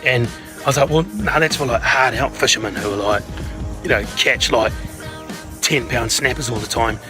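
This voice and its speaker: A man with a New Zealand accent and a hoarse voice from a sore throat, chatting casually and self-deprecatingly in a fishing vlog. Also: medium pitch, normal speed. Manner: laid-back, self-deprecating. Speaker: vlogger. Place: outdoors on a small boat. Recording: loud music over the voice.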